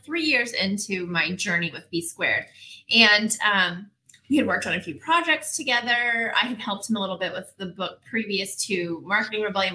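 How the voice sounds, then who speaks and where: A woman guest with a high-pitched, girlish voice, talking candidly and animatedly, laughing easily. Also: pushed and bright, rough recording. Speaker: guest, agency founder and CEO. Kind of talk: casual interview conversation.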